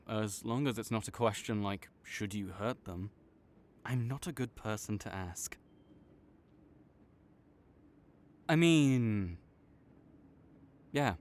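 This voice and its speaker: A man playing an arrogant, teasing supervillain rival with a soft spot, speaking theatrically to the listener in an audio roleplay. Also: on the low side. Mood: awkward, sincere. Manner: smug, teasing, theatrical with sighs. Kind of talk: dramatic roleplay monologue spoken to the listener.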